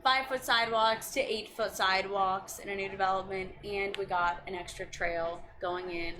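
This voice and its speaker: A woman's voice: medium pitch, steady tone, normal speed. A woman in her twenties, a county district staff member, talking earnestly and passionately about local policy in a casual chat. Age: 20s. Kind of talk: casual chat on a video stream. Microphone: video call. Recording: noisy recording.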